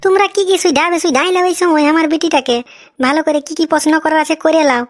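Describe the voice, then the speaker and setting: Shrill, fast cartoon village mother voiced by a woman. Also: no echo, clean studio sound, natural voice. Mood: fussy, welcoming. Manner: fussy, talkative. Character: pushy, talkative village mother of a cartoon bride. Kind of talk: cartoon comedy dialogue.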